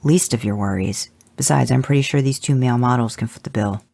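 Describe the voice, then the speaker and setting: A reader giving voice to a gruff male hunter in an audio story, barking curt orders with a dry, sarcastic edge. The voice is dark and gravelly, with a husky grain. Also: medium pitch, fairly quick, dry recording. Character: Dean, gruff male monster hunter, commanding.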